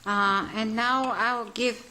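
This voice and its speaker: A woman chairing a parliamentary committee hearing, polite and a little halting as she hands out the floor. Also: fairly high pitch, fairly even intonation, bright, forceful tone.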